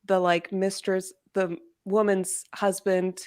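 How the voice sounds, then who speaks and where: A woman in a casual book discussion, speaking in an informal, exclamatory and animated way. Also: medium pitch, takes it slowly, smooth. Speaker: guest discussing a novel in a readalong livestream.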